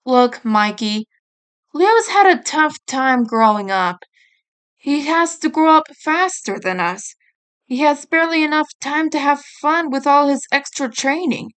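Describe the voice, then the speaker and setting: Girl doing a stubborn big brother ninja turtle, blunt and protective, voice pitched up, storybook style. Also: animated, measured pace, a bit bright. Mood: explaining earnestly. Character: stubborn hotheaded older brother turtle, teenage male ninja.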